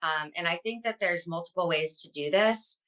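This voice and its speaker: A woman's voice: lowish voice, moderate pace, fairly bright tone. A woman speaking as an interview guest, answering earnestly in a conversational way. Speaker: interview guest, online advocate and influencer.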